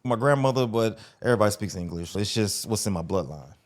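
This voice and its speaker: A man's voice: lowish voice, expressive, fast. A man in his forties from Las Vegas, a podcast guest talking casually and slangily about his life. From North Las Vegas, USA. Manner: laid-back storytelling, slangy, restarts phrases. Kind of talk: casual interview conversation.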